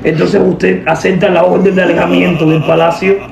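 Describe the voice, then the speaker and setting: Young woman doing a straight-faced prank call like some official, gravelly voice, echoey noisy room. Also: on the low side, flat delivery, fairly quick. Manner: official-sounding, instructing. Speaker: prank caller, host. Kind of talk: deadpan prank phone call. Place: indoor room at a table. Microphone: camera a short distance away.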